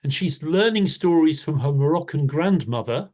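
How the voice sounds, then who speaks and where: Man, workshop leader, warm reflective manner, self-correcting restarts, video call mic. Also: fairly high pitch, lively intonation, normal speed. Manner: warm, thoughtful, frequent restarts. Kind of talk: online workshop talk.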